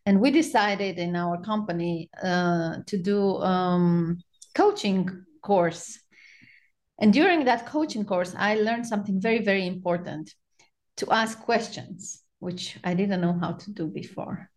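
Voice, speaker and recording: A woman's voice: medium pitch, measured pace, darkish tone. A woman from Romania with a Romanian accent, a podcast guest telling her personal story in casual conversation. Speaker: podcast guest, spiritual practitioner.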